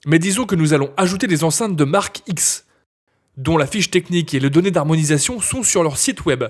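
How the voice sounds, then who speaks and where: Male instructor, slightly high husky voice, scripted step-by-step software tutorial read. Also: lively intonation, fairly quick.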